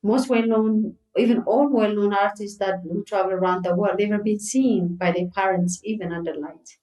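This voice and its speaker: A woman's voice: mid-range voice, steady tone, normal speed, mellow, reverberant, poor audio. A middle-aged woman from Ethiopia who runs a circus, answering interview questions earnestly and repeating words for emphasis. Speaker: circus founder and guest.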